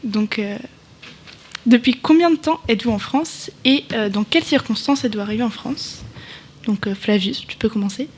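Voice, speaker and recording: A young woman hosting a live student radio interview, asking questions in a casual, brisk way. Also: a little high, a little mellow, a little hiss or hum. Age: young adult. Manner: casual, brisk, laughs along. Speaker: host.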